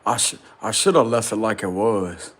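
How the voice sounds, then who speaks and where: A man vlogging casually and insistently from a small rented room, with a deep, loud voice. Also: normal speed. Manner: excited, insistent, slangy. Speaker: spiritual vlogger. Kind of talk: casual vlog to camera.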